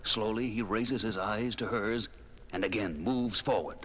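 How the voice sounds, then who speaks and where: A man's voice: on the low side, a little slow, a bit bright, a little hiss or hum. A man narrating a horror audio drama in a portentous, theatrical manner. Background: dramatic music score.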